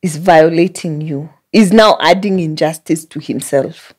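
A woman in her thirties with a Kenyan accent, talking as a podcast guest in an emphatic, reflective way, pausing to search for words. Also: low-pitched, darkish tone. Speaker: podcast guest, public theologian. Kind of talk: casual podcast conversation. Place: small indoor podcast studio. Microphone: close boom-arm studio microphone.

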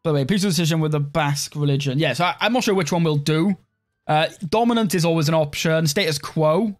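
A man's voice: fairly high pitch, fast talker. Young man streaming a strategy game. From Wales. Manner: relaxed, rambling, heavy use of "like". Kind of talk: live-stream gameplay commentary, reading chat.

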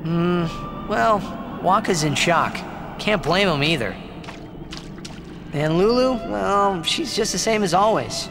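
Boyish teen hero, high lively voice, casual, earnest, game cutscene. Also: male voice, slow pace, gravelly, pauses often, big-room sound, over music, exaggerated voice. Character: boyish, upbeat, loyal teenage athlete hero. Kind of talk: video game voice acting.

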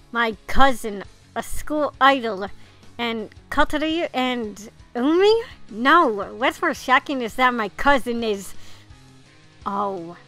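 Female gamer, high bright voice, informal and reactive, reading game dialogue over faint music. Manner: reactive, informal, mild swearing. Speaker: gamer reading a visual novel aloud. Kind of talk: casual playthrough with read-aloud dialogue and reactions.

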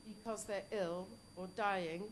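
A woman's voice: mid-range voice, steady tone, takes it slowly, slight background noise. A young adult woman speaking at a conference panel table, answering a question with many fillers and restarts. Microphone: table microphone.

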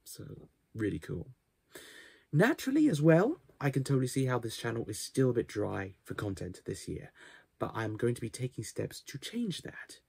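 Husky, creaky-voiced young man vlogging. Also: medium pitch, very animated, moderate pace, a little mellow, dry recording. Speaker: YouTuber giving a channel update. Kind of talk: casual vlog talk to camera. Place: small cluttered bedroom, indoors.

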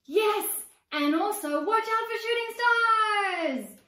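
A woman's voice: extremely high pitch, expressive, slow, pushed and bright, pitched above the performer's own voice, heavily acted. A woman voicing an eager, imaginative little sister in a storybook reading, exclaiming with gleeful insistence. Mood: excited, playful. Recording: roomy echo, distant microphone.

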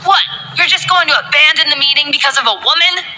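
A woman voicing a jealous, sneering young male executive in an audiobook story, in a shrill, taunting way. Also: lively intonation, fast, pushed and bright, a little hiss or hum, exaggerated voice.

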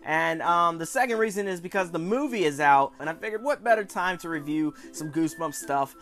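A man in his twenties with a noticeably high voice presents a toy review to camera. He talks casually and enthusiastically, like a chatty online show host, with soft music underneath. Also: expressive, a little fast. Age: 20s. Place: small room at home.

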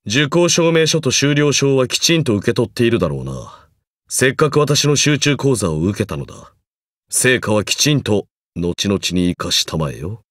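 A man's voice: medium pitch, very animated, moderate pace, fairly bright tone, no echo, clean studio sound. An actor playing a stern, pompous magecraft professor in a mobile game, speaking formally and condescendingly while grudgingly encouraging his student. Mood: measured approval. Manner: formal, condescending lecturer's delivery. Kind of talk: scripted game character lines.

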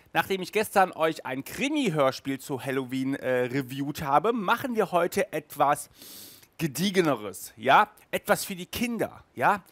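A man's voice: fairly high pitch, fairly bright tone, no echo. A middle-aged man hosting a casual review show to camera, chatty and enthusiastic, speaking into a headset mic. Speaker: host of an audio drama review show. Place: small furnished room indoors, on a couch.

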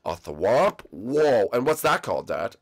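A man's voice: mid-range voice, measured pace, creaky, full character voice. A man voicing a bratty young dragon-turtle prince who whines and teases his father. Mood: whiny. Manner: whiny, teasing, easily excited.

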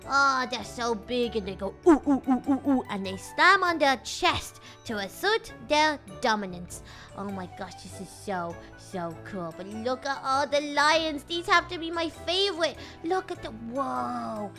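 High, bright, gushing woman gamer. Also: a little slow, soft background music. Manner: excitable, gushing, narrates what she sees. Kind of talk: roleplaying a little kid in a game.

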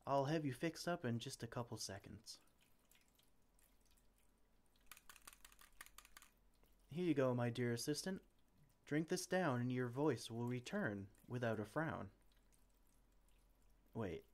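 Man acting a flustered, theatrical mage with swooping pitch. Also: animated, measured pace, a little mellow, natural voice. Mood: relieved, sheepish. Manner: theatrical, exclamatory, speaks to a silent assistant. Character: flustered, well-meaning male mage and potion brewer. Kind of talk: ASMR roleplay acting.

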